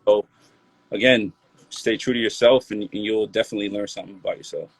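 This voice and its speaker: A man's voice: fairly low pitch, fairly even intonation, quick pace, no echo, low-quality recording. A man in his late twenties, a rapper from Maryland, talking loosely in a casual interview, full of "like" and "you feel me". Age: 20s. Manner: laid-back, loose, peppered with "like" and "you feel me".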